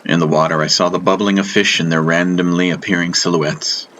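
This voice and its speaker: A man narrating a fantasy audiobook in the first person, in a measured, dramatic storytelling manner. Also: low voice, fairly even intonation, a bit bright, slight background noise.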